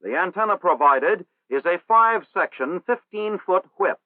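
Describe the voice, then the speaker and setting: A man reads a scripted training film narration in a formal, measured way. His voice sits fairly high, and the sound is dry. It comes through a thin, tinny 1960s film soundtrack. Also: expressive, no echo.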